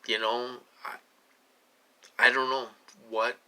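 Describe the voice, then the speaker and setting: A man in his late forties giving a rambling personal monologue, halting and repeating himself as he dwells on his questions. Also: mid-range voice, little pitch movement, sharp tone, clear, resonant tone, rough recording. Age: late 40s. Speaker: vlogger.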